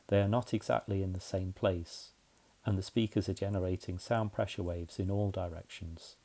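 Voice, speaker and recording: Deep-voiced, gravelly man narrating a tutorial. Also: mellow. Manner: methodical, plain-spoken explaining. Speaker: tutorial presenter. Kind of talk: scripted explainer narration.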